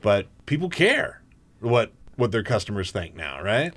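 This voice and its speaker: A man hosting a talk radio show in a casual, energetic way, joking and laughing often as he interviews a guest. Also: lowish voice, unhurried. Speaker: fill-in radio show host.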